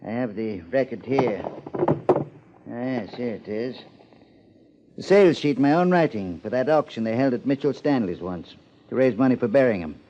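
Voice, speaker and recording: An actor playing a grumbling, folksy old small-town clerk in a 1950s radio drama. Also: male voice, medium pitch, animated, normal speed, background noise, close to an everyday voice. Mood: folksy, deliberate. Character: crotchety, penniless old small-town former record keeper, male. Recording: muffled 1950s radio broadcast.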